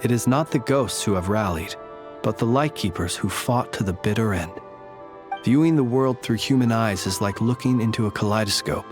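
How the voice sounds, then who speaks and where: A man voicing a courtly lantern-bearer who lays ghosts to rest, speaking in a formal, measured, poetic way. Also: on the low side, close to an everyday voice. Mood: reflective. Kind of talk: video game voice acting.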